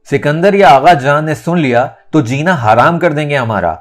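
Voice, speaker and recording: A man narrator plays a fearful mother scolding her child in an audio novel. Her voice is urgent and reproachful, pushed higher and animated. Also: normal speed, faint music behind, close to an everyday voice. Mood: alarmed scolding.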